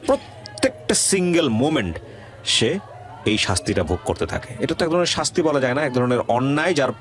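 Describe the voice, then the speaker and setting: A man's voice: medium pitch, very animated, brisk. A man with a Bangladeshi accent hosting an interview show, speaking reflectively and emphatically, repeating phrases for weight and mixing in English words. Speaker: radio show host and interviewer. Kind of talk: reflective monologue and interviewing a guest. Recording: music under the voice.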